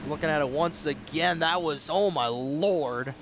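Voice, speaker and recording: A high-pitched man calls a sim race with eager play-by-play, his voice a little raspy. Race car engines hum clearly behind him, and his tone jumps at the close calls. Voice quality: slightly raspy. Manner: excitable, fast-moving play-by-play with sudden rises in pitch at close calls. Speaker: race commentator. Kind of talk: sim racing play-by-play commentary. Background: race car engine noise.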